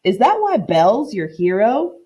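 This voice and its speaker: A curious young boy from a children's storybook , as a woman reader voices him, full of simple wonder. The voice is mellow and moves freely up and down in pitch. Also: very animated, normal speed, slight background noise, lightly acted. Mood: delighted.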